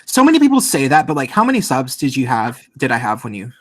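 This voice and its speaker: A man's voice: medium pitch, huge pitch swings, fast, slight background noise. A man in his late thirties with a nasal voice, hosting a casual livestream chat and rambling with frequent restarts. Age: late 30s. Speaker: podcast host.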